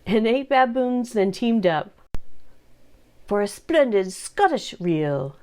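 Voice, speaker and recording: A woman's voice: on the high side, lively intonation, takes it slowly, a little mellow. A woman hosting a read-along, narrating a rhyming picture book expressively for children.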